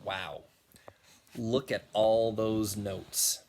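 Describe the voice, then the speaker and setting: A man reading a picture book aloud to young children as their music teacher, expressively and with dramatic pauses. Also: on the low side, fairly even intonation, a little mellow.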